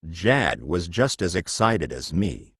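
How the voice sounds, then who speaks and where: Male storyteller, low voice, unhurried and measured, animated intonation for a children's learning text. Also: husky, dry recording, clean studio sound. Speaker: audiobook narrator of a children's textbook story. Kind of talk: scripted story narration.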